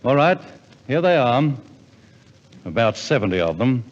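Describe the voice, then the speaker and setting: A man's voice: medium pitch, very animated, a little slow, in a large hall. A man hosting a live 1950s radio variety show with effusive showmanship, on an old broadcast recording. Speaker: radio host and compere. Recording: dull 1950s radio recording, music and crowd.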